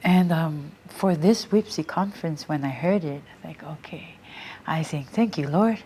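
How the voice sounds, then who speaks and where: Guest: woman from American Samoa, Samoan native speaker, lower-pitched, hesitant and warm in her storytelling, faint hiss. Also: measured pace. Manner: many fillers and restarts, laughs readily. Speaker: guest, Samoan language teacher. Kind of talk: casual interview, reflective storytelling.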